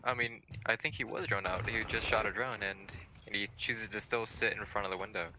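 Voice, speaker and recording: A man's voice: fairly low pitch, steady tone, brisk, background noise. A man working as an esports analyst, giving conversational, opinionated commentary on a live video game match.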